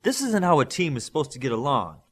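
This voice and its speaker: A man's voice: fairly high pitch, wildly swooping pitch, fast, breathy edge, voice pushed up, exaggerated voice. A man voicing an eager, upbeat teenage boy who leads his team in an audiobook. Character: teen boy, eager peacemaking team leader.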